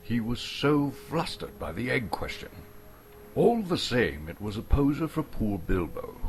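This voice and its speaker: A man from Canada narrating a story as a theatrical, expressive dramatic reading. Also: low-pitched, slight background noise.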